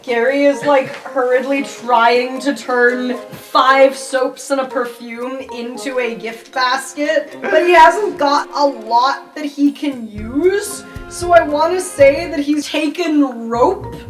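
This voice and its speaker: A woman with a high voice plays a tabletop roleplaying game, casually describing and improvising. The room adds noticeable echo, with faint music underneath. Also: slow, hardly any pauses, far from the mic. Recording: roomy sound with faint music.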